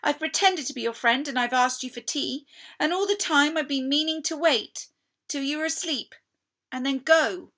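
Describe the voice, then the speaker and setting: A woman's storybook male fawn, anxious and guilt-stricken, high-pitched, stammering, dramatic, bright. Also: moderate pace. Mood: guilty, urgent.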